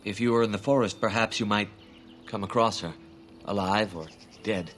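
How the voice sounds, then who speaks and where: An actor playing a grieving elven hunter in a fantasy game, speaking in a formal, measured, earnest way. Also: male voice, on the low side, a bit bright, slight background noise, natural voice.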